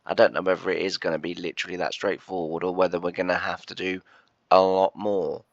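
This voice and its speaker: A man with a croaky, bunged-up voice from a chest cold, rambling through casual gameplay commentary with lots of hesitations. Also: sits low, little pitch movement, fast, dry recording, highs cut off. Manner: rambling, hesitant, full of "uh" and restarts. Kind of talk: casual let's-play commentary.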